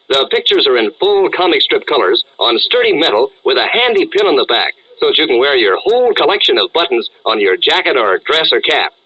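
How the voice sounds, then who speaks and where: American guy doing old time radio ad, lively swoopy pitch, bright husky voice, muffled vintage recording. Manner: punchy, emphatic salesman delivery. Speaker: radio announcer. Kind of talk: 1940s radio advertising announcing. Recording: dull, band-limited old radio recording.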